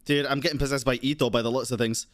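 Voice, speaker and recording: A man's voice: mid-range voice, fairly even intonation, racing. A man giving casual live gameplay commentary as a streamer, reacting in slangy, sweary language. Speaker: game streamer.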